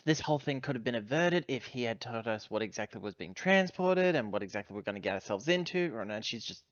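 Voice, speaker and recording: A man's voice: mid-range voice, expressive, fairly quick, no echo. Male tabletop player, hesitant rambling delivery, casual, dry and slightly dull recording. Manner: rambling, hesitant, self-correcting. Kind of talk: casual game talk, describing character actions.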